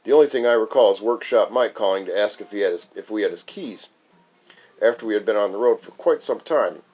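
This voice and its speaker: A man's voice: on the low side, fairly quick, a little mellow, soft background music. A man reading his own memoir aloud as a wry, amused storyteller. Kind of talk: memoir recitation, narrated reading.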